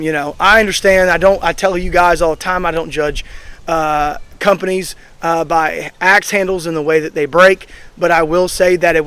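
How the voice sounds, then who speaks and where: A man in his thirties with a slightly high-pitched voice, hoarse as he gets over being sick. He talks in a loose, opinionated ramble with plenty of ums and uhs, hosting an outdoor review vlog. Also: a bit bright. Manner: rambling, opinionated, frequent fillers. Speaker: axe throwing channel host. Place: outdoors at a throwing range.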